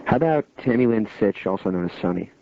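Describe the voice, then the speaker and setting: A man's voice: on the low side, lively intonation, fast talker, slight background noise. A man hosting a casual radio interview, chatting with a guest. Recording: dull, lo-fi radio broadcast audio.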